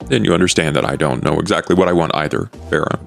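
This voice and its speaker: A man voicing a brooding, enigmatic male vampire who speaks in a deliberate, formal, roundabout way in improvised roleplay. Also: a bass voice, creaky, soft background music, full character voice. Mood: wistful, guarded. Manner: deliberate, formal, talks in circles. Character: brooding, soft-spoken, enigmatic male vampire fixer named Duke.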